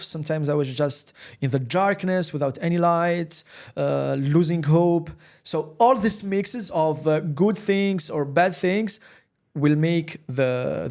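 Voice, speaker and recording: A man from Tunisia talks in a fairly high voice for a man, earnest and heartfelt. He often restarts and repeats words as he reaches for the right phrase. He speaks as a guest in a casual interview. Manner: earnest, repeats words, warm passion for his roots. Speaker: podcast guest, recruiter.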